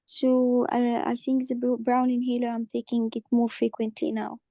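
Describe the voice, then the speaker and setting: A woman playing a hoarse forty-nine-year-old patient with a croaky voice, speaking haltingly with many pauses and restarts. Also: on the high side, monotone, mellow, dry recording, lightly acted. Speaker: simulated patient in exam practice.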